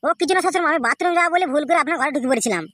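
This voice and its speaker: Man voicing a high-pitched, rattling, whining cartoon husband. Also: rapid-fire, very noisy. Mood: sheepish. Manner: pleading, wailing, excuse-making. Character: whining hard-drinking henpecked village husband, cowardly and sly. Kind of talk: comic Bengali cartoon dialogue.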